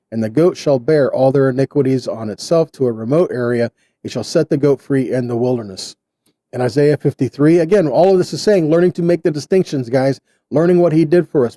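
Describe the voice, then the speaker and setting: A man giving sermon-style Bible teaching in short, deliberate phrases with an earnest, exhorting manner. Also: fairly high pitch, dark tone, no echo, clean studio sound.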